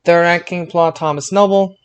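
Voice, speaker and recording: A man hosting a casual wrestling podcast, speaking haltingly and repeating words as he searches for phrasing. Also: sits high, fairly even intonation, clear, resonant tone. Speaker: podcast host.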